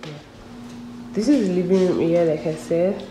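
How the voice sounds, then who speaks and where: A woman's voice: on the low side, normal speed, distant mic. A young woman, chatting casually as she walks through an empty apartment on a handheld phone camera. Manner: chatty, talks while walking through rooms. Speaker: vlogger. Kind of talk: casual vlog narration. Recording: echoey bare room with background noise.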